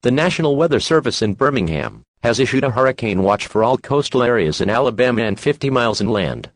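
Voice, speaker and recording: A man's voice: medium pitch, sing-song, fast talker. A man voicing an official weather alert announcer, reading a formal, methodical emergency bulletin. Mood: formal, matter-of-fact.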